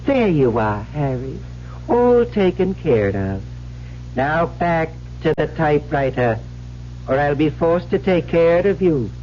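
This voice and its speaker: An actor playing a cold, commanding, malignant machine spirit in a vintage radio drama, deliberate, smug and menacing. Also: male voice, a little high, very animated. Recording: muffled old radio recording with hiss.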